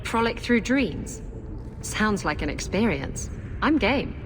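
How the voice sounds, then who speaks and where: Slow, swooping, playful adventurer woman. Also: medium pitch, sing-song. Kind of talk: video game voice acting. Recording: reverberant, noisy game capture.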